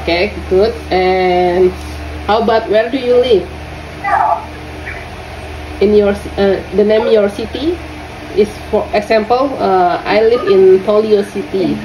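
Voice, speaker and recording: A woman from Indonesia with an Indonesian accent teaching English to young students in an online lesson. She speaks haltingly, repeats her questions and fills her pauses with "uh". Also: mid-range voice, mellow. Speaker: English tutor. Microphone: lesson audio recorded on a phone. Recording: roomy phone recording with faint noise.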